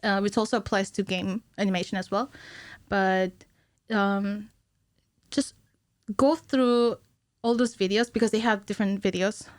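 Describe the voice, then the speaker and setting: A woman in her thirties explaining things informally and haltingly as a guest in a casual studio interview. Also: medium pitch, steady tone. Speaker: podcast guest, cinematic animator. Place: small podcast studio indoors. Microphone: studio microphone on stand, with headphones.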